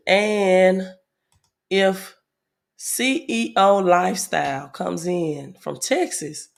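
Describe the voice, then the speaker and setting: Hoarse woman chatting on a livestream. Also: lowish voice. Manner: chatty, reads viewer comments aloud, many fillers. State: slightly hoarse from a head cold. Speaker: livestream host. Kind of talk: casual livestream chat.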